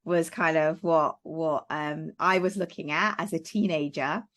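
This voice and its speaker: Chatty woman from Wales, lowish voice. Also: measured pace, fairly bright tone. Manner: chatty, many fillers and restarts. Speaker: guest, parenting coach. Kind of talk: casual interview chat.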